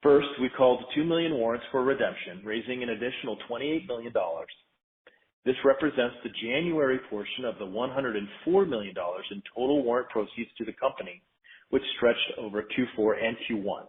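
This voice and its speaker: A man in a company finance role reads a scripted earnings presentation in a formal, measured, businesslike way. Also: mid-range voice. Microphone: conference call line. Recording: narrow tinny phone-line sound.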